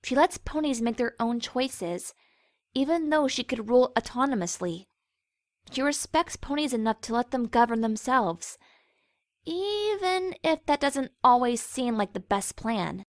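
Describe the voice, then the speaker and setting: A woman acting as a young cartoon mare with a high voice, recorded close and dry. She is sensible and sardonic, scolding gently in a matter-of-fact way. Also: expressive, a little slow, dry recording. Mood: earnest. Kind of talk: cartoon fan fiction voice acting.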